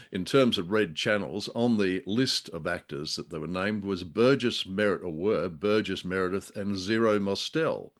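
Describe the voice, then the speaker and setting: A low-pitched man talks as co-host of a radio drama show. His delivery is casual and bookish, with a halting, wry turn to his remarks.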